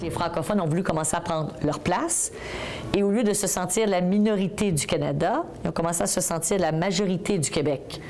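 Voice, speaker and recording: A woman recounting history clearly in a documentary. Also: on the low side, fairly quick, a little mellow, slight background noise. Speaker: interviewee.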